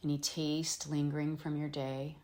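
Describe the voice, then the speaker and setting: A woman teaching yoga nidra, leading a guided meditation in gentle, soothing phrases with frequent pauses. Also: sits low, little pitch movement. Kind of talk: guided meditation, slow instruction with long pauses.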